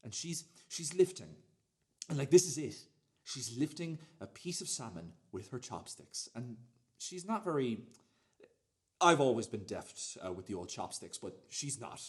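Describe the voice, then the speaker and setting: A man acting in a radio play as a cocky, sweary, smug storyteller who speaks in halting, repetitive phrases. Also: mid-range voice, big pitch swings, a little mellow. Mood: smug, hushed relish. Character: cocky, sweary man smugly recounting a dinner date. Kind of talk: radio play dramatic monologue.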